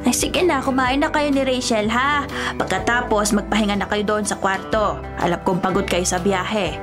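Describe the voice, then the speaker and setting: A woman acting in a radio drama as a warm, hospitable, middle-aged rural mother, gently bossy. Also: mid-range voice, fairly even intonation, normal speed, music in the background, natural voice. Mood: welcoming, motherly.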